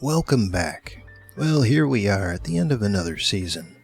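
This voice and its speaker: A man's voice: fairly low pitch, sing-song, normal speed, husky, soft background music. A man hosting the end of an audio series, reading credits and thanks in a casual scripted style.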